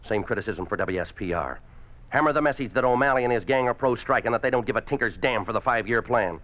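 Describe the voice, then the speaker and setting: An actor playing a ruthless, domineering communist party boss in a 1950s radio drama, speaking in a curt, commanding way. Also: male voice, medium pitch, quick pace, a bit bright, lightly acted. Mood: harsh, commanding. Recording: muffled old 1950s radio recording.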